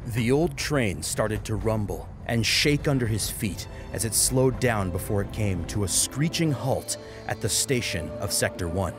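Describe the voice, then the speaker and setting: A man's voice: on the low side, expressive, measured pace. A man narrating a scripted story as a dramatic audiobook reader. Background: music.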